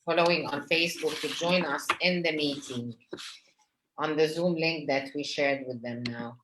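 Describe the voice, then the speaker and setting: Low-voiced woman in an echoey room. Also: moderate pace, fairly bright tone. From Lebanon. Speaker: webinar co-host. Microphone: computer mic on video call. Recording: echoey room with faint noise.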